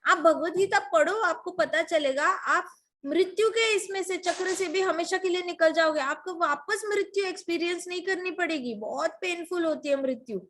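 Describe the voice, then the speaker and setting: High-pitched woman, devotional storyteller, emphatic, full of rhetorical questions. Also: moderate pace, fairly bright tone. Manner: mixes English words into Hindi.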